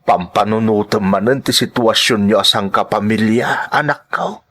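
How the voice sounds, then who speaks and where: A man acting a tender, worried older father in an Ilocano melodrama, with a husky voice, plaintive delivery and Ilocano accent. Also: on the low side, a little slow, fairly bright tone.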